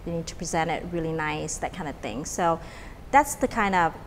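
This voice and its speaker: A woman's voice: low-pitched, animated, moderate pace, in a large hall, a little hiss or hum, poor audio. A middle-aged woman from Vietnam, a restaurant owner chatting casually in an interview, with many fillers and restarts. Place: restaurant dining room.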